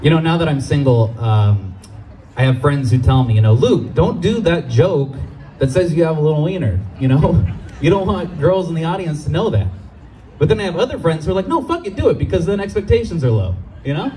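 A young man from Los Angeles performing a stand-up comedy set on an outdoor stage with a handheld mic, animated and jumping into high voices for the people he quotes. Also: fairly quick, a little hiss or hum, rough recording.

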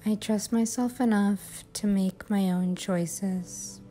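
Slow, mellow woman guiding sleep hypnosis. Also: medium pitch, fairly even intonation. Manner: soothing, unhurried, measured phrasing. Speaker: hypnosis and meditation guide. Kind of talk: guided sleep hypnosis, affirmations. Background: music.

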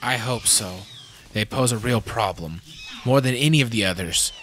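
A man voicing a serious, cautious geneticist who explains things in a measured way. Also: medium pitch, a bit bright, a little hiss or hum, natural voice. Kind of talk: fan audio drama acting.

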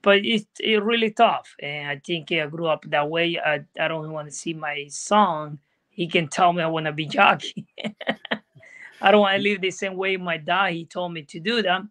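A man's voice: a little high, normal speed, pushed and bright. A middle-aged man from Venezuela with a Venezuelan Spanish accent, a native Spanish speaker, speaking earnestly in plain non-native English as a guest on a video call from a room indoors. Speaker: guest jockey. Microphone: video call from laptop.

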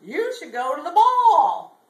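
Woman voicing a high, bossy fairy cow godmother. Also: huge pitch swings, reverberant, heavily acted. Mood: urging. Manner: emphatic, drawn-out, sing-song proclamations. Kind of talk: live comic storytelling character voice.

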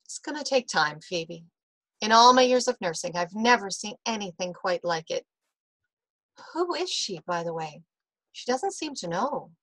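A woman playing a kindly, frank veteran nurse in a period radio mystery, reassuring and measured. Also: medium pitch, sing-song, a bit bright, no echo, poor audio. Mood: frank, puzzled. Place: indoor video call.

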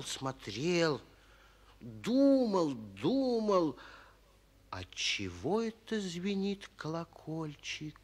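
A man narrating a children's fairy tale in an expressive, old-fashioned 1940s radio storytelling style. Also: mid-range voice.

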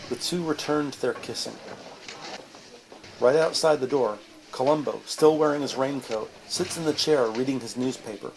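A man's voice: mid-range voice, normal speed, a little mellow, poor audio. Male drama narrator, faint background noise.

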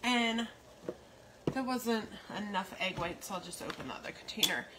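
A woman's voice: medium pitch, animated. A middle-aged American woman chatting casually and rambling a little as she cooks for an online audience in her home kitchen. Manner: unhurried, rambling asides while busy cooking. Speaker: home cook and online host. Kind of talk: casual cooking livestream chat. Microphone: phone propped nearby. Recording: noisy kitchen, sizzling pan. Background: frying sizzle and stirring.

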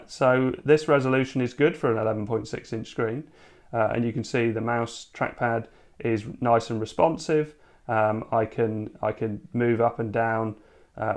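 A man casually narrating a hands-on tech demo at home, thinking aloud with plenty of fillers. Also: lowish voice. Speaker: tech video host. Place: home room, at a dining table.

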